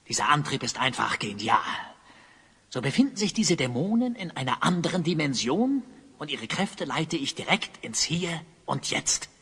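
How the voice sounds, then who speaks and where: Swooping, theatrical evil sorcerer. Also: male voice, medium pitch, sharp tone, heavily acted. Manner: theatrical, grandiose, muttering to himself. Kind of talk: audio drama acting. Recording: clearly noisy background.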